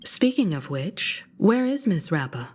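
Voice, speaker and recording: An actress playing a mature, courteous crew leader in a video game, speaking calmly and articulately. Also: female voice, on the low side, measured pace, slightly rough, exaggerated voice. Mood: composed. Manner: calm, articulate.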